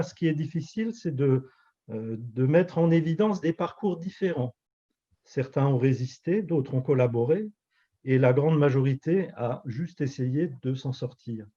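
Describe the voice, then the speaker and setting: A man in his fifties from Alsace whose first language is Alsatian, a pastor and author answering interview questions in a measured, reflective way with frequent hesitations. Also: fairly high pitch, dark tone, dull audio. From Alsace, France. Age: 50s.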